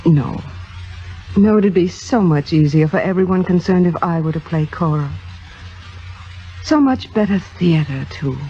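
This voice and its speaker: Scheming young stage actress, lowish poised voice, theatrical radio style, muffled vintage recording. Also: female voice, lively intonation, a little slow, long pauses, faint music behind. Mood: cool, calculating. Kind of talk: 1950s radio drama acting.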